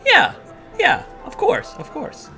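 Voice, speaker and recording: A man voicing a flustered, defensive young wizard student in a squeaky voice. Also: huge pitch swings, slow pace, pushed and bright, faint music behind, heavily acted.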